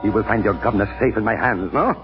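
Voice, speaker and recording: An actor playing a menacing, grandiose Indian mystic hypnotist in a theatrical, commanding 1930s radio drama style. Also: male voice, on the low side, fast, lo-fi sound, lightly acted. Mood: gloating, commanding. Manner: theatrical, imperious, refers to himself in third person. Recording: band-limited 1930s radio recording with music.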